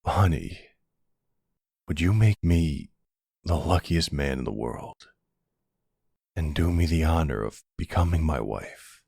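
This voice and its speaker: A man playing a middle-aged mafia boss and devoted stepfather in an intimate roleplay audio drama, with a husky, gravelly voice and an affectionate, wry way of talking. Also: very deep voice, lively intonation, slow, long pauses, darkish tone, dry recording, clean studio sound, heavily acted. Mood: tender.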